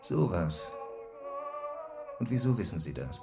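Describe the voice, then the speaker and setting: An actor playing an older, well-to-do gentleman in a German radio play, courteous, formal and coolly probing. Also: low-pitched, big pitch swings, a little slow, gravelly, music in the background, heavily acted.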